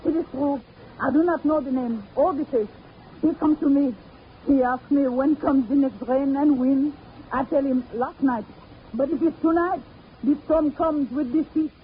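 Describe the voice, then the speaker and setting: An actress playing a proud, secretive old Haitian sorceress in 1940s radio style, speaking broken English in short imperious phrases. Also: female voice, high-pitched, moderate pace, natural voice. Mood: grudging, ominous. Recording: tinny, noisy old radio recording.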